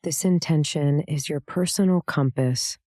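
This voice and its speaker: A woman leading a guided meditation, giving calm, gentle, soothing instructions with pauses between phrases. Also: deep voice, dry recording, clean studio sound. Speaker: meditation guide, yoga teacher.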